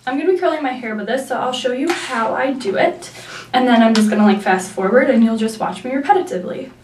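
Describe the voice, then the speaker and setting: A woman's voice: on the high side, expressive, fast, a little mellow, distant mic, a little hiss or hum. A young woman with a nasal voice from a sinus infection, chatting through a hair tutorial with many likes and ums. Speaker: beauty vlogger. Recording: echoey room, mic set back.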